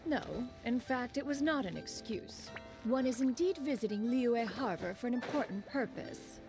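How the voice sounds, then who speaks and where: A woman's voice: on the high side, moderate pace, over music, lightly acted. A woman voicing an aloof immortal who speaks in formal, old-fashioned third person, in a game cutscene. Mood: stately.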